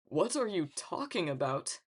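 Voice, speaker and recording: A woman's voice: mid-range voice, lively intonation, normal speed, close to an everyday voice. A woman voicing a vain, demanding, haughty young man in an audiobook. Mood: indignant. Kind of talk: audiobook character acting.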